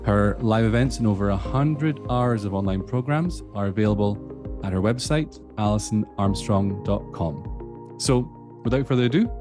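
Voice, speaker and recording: A man's voice: on the low side, moderate pace, over music. A man with a Scottish accent, hosting a podcast interview in a friendly, casual way. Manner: friendly, with fillers. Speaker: podcast host.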